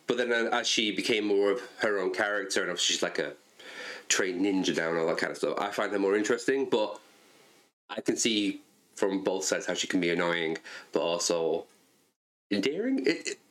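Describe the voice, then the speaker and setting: Lowish guy rambling happily bout cartoons, keeps restarting his sentences. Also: expressive, fairly quick, fairly bright tone. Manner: enthusiastic, stumbles and repeats words. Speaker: podcast co-host. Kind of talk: casual chat about cartoons and comics.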